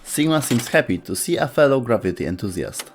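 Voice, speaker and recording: A man's voice: mid-range voice, big pitch swings, brisk, a little hiss or hum. A man reading scripted explainer narration as the narrator of a video.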